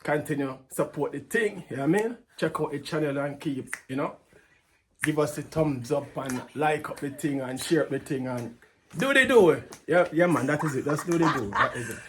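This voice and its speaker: A man's voice: fairly high pitch, expressive, normal speed, fairly bright tone. A young man co-hosting a casual travel vlog, laid-back and repeating catchphrases. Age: 20s. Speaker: vlog co-host. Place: outside a train station. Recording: outdoor, wind noise on mic.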